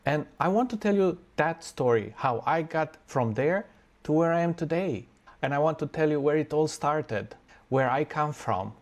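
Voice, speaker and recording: A man, telling his own life story in an earnest, unhurried, reflective way with thoughtful pauses. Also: a little high. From Romania.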